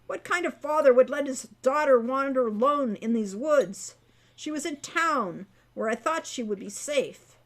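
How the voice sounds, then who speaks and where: A woman's voice: high-pitched, lively intonation, unhurried. A woman voicing a stern, angry centaur father in a storybook, with formal, scolding speech. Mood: indignant.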